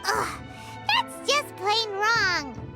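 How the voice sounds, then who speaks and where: A woman's voice: very high voice, very animated, extremely slow, over music, exaggerated voice. A woman voicing a small, curious, childlike female companion in a video game, with a cute way of talking. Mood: indignant. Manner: cute, speaks of self in third person. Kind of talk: video game dialogue acting.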